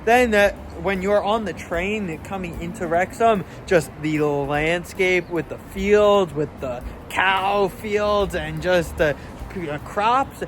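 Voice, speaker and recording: A man's voice: sits high, takes it slowly. A young man chatting casually as a travel vlogger, thinking aloud with fillers as he walks through a city. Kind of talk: casual walking travel vlog. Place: outdoors on city streets. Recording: street noise behind voice.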